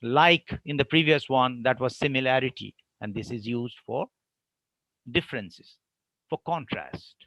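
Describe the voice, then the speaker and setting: A man giving an online academic lecture, explaining with deliberate pauses between phrases. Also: medium pitch, fairly bright tone, dry recording.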